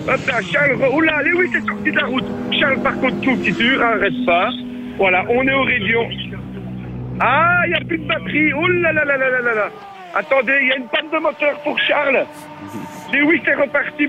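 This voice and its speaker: A very high-pitched, bright-voiced man, reporting live on radio. He speaks in a playful, exclamatory commentator's manner. There is some noise behind him, as on an outside broadcast. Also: highs cut off. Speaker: field reporter on a radio show. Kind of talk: excited mock race commentary and live chat.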